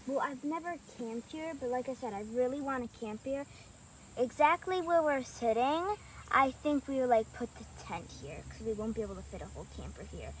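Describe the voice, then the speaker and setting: A female co-host with a high voice chatting casually and reading a children's storybook aloud in a deliberate way. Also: lively intonation, poor audio. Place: outdoors by a marshy trail. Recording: outdoor recording with clear insect and ambient noise.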